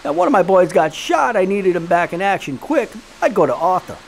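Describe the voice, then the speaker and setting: A man's voice: sits high, sing-song, brisk, a little hiss or hum. A man playing a sly, menacing American crime boss in a period gangster audio drama, full of streetwise slang and theatrical glee. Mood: sly, amused.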